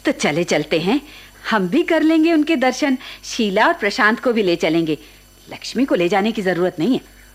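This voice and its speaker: An actress playing a bossy, scolding middle-aged aunt in a radio play, with a nagging, commanding manner. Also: female voice, mid-range voice, lively intonation, moderate pace, lightly acted. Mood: grumbling. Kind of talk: Hindi radio play acting.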